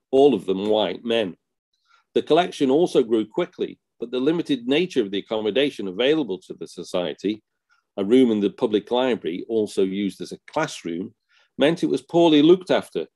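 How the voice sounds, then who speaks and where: Man, lower pitch, collections officer, read seminar lecture, measured delivery. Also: a little mellow. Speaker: museum collections officer giving a seminar talk.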